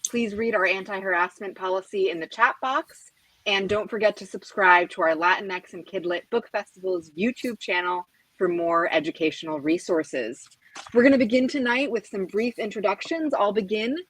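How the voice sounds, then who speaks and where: A woman author moderating a panel, introducing speakers and asking questions in a clear, orderly way. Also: mid-range voice, normal speed, a bit bright, dry recording, low-quality recording. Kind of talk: hosting a panel discussion.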